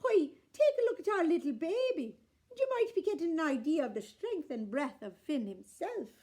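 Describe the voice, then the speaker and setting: A woman's voice: sits high, big pitch swings, moderate pace, dark tone, voice pushed up. A woman voicing a crafty, sweet-talking wife who coaxes with a sugary tone. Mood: coaxing. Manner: sugary, coaxing.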